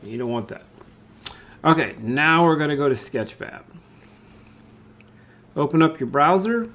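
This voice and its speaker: A man narrating a step-by-step software tutorial in an informal way, thinking aloud as he demonstrates. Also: on the high side, expressive, measured pace.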